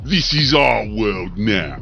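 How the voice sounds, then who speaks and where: A man voicing a gruff, bossy, scheming ork warboss who talks in rough slang, in an audiobook story. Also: lowish voice, expressive, slow pace, pushed and bright, faint music behind, exaggerated voice. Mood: defiant. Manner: rough slangy commands, muttered.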